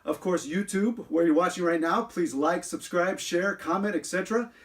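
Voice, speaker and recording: Chatty unicorn story-time presenter, played by a man: high voice, earnest read-aloud delivery with halting asides. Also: close to an everyday voice. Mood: chatty, playful. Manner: halting, filler-heavy asides, earnest reading. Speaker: show host.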